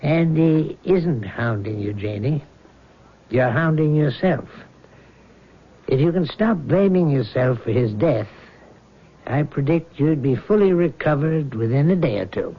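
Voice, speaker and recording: An actor playing a calm, reassuring male doctor in a vintage radio drama, with a measured delivery. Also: on the high side, husky, full character voice. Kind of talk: 1970s radio drama acting. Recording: dull old radio broadcast.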